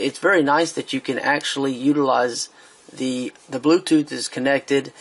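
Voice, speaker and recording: A man's voice: medium pitch, a little hiss or hum. A man giving a casual product review while he handles a speaker on a tabletop, hesitant, with many fillers and restarts.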